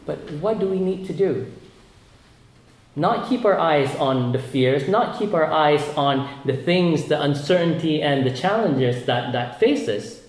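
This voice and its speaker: A man's voice: fairly high pitch, lively intonation, a little slow, roomy sound. A man from Malaysia preaching a sermon, earnest and often repeating words as he builds his points.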